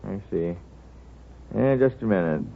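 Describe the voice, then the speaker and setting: An actor playing a wise, sly small-town doctor in a 1930s radio drama, with folksy hems and haws. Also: male voice, fairly low pitch, animated, measured pace, creaky. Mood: sly, kindly. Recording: tinny, narrow 1930s radio broadcast.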